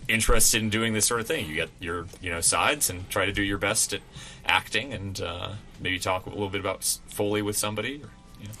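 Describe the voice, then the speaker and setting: A man's voice: fairly low pitch, steady tone, fairly quick, bright, forceful tone. A man being interviewed as a radio theater performer, chatting casually in a rambling, conversational way. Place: college radio station.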